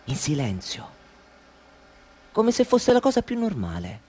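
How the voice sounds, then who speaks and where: A husky, breathy voice belongs to a fretful, controlling man who keeps a young woman indoors, in a radio drama. His pitch rises and falls widely as he goes from low murmurs to urgent warnings. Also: wildly swooping pitch, unhurried, heavily acted. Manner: brooding, insistent, swings between hush and urgency. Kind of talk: Italian radio play acting.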